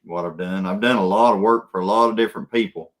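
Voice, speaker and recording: A man's voice: on the low side, fast talker. A man hosting a casual chat, laid-back as he answers viewer questions. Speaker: host.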